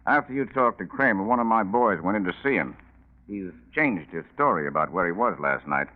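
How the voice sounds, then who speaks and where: A shrewd police lieutenant in an old radio crime play. His low voice moves widely as he probes with sardonic control. The recording is thin and tinny, with a narrow 1940s radio sound. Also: animated, fairly quick. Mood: probing. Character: shrewd, dogged male police lieutenant grilling a crook.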